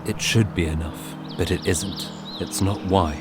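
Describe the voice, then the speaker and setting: Deep-voiced, battered rebel man. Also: fairly even intonation, measured pace, noisy background. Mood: weary. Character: battered, loyal, reflective immortal rebel man. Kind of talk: naturalistic audio drama acting. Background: music.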